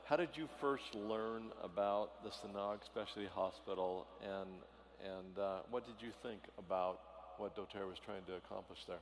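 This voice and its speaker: Guy moderating onstage, kinda low voice, stumbles over words, echoey hall. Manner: halting, restarts often. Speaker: interviewer, moderator. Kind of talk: unscripted on-stage interview. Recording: echoey hall, faint noise.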